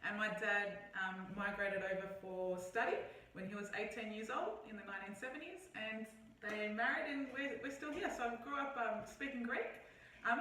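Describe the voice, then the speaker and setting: A young woman talks cheerfully and chattily, dropping in the odd um. Her tone is bright and clear. She is picked up from a distance in a very echoey room with a little faint noise. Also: mid-range voice. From Australia. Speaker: guest. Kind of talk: casual conversational interview.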